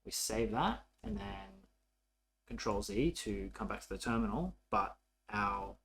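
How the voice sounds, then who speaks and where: A man narrating a coding tutorial casually, thinking aloud in an informal, halting way as he works. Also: lowish voice, expressive, gravelly, a bit bright, a little hiss or hum. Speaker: programming tutorial presenter.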